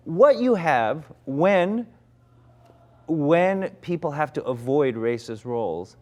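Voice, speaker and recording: A man's voice: a little high, animated, slow, darkish tone. A man in his forties, an actor, reflecting candidly in conversation, often restarting his sentences. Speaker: actor, interview guest.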